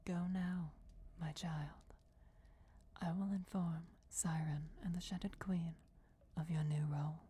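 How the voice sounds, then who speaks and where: A woman's voice: sits low, fairly even intonation, measured pace, warm tone, a little hiss or hum. A woman voicing a regal, commanding vampire goddess in a solemn whispered fantasy ASMR roleplay. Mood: commanding, reassuring. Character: ancient vampire goddess, commanding, softly menacing, bestowing destiny.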